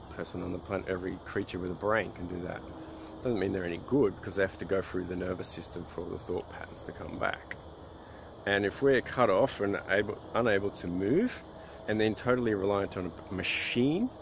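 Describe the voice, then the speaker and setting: A man with a fairly deep voice rambles informally like a vlogger. He sounds sure of his opinions and scornful, often asking rhetorical questions. Outdoor traffic noise is plainly audible around him. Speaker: vlogger on alternative health. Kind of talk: rambling informal monologue to camera.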